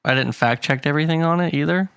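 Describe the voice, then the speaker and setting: A man's voice: fairly high pitch, quick pace, vocal fry, a bit bright, smooth, dry recording, clean studio sound. Chatty casual male podcast co-host. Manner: chatty, hedging, laughs often. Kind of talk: casual chat.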